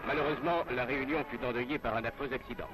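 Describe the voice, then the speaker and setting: A man's voice: medium pitch, fairly even intonation, fast, over music, low-quality recording. A man narrating a scripted 1960s French newsreel in a formal announcer's manner, on a vintage film soundtrack. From France.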